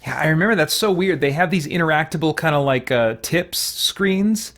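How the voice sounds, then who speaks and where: A man giving casual let's play commentary, thinking aloud as he deliberates over a video game. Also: on the high side, expressive, fairly bright tone. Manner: musing aloud, hesitant deliberation.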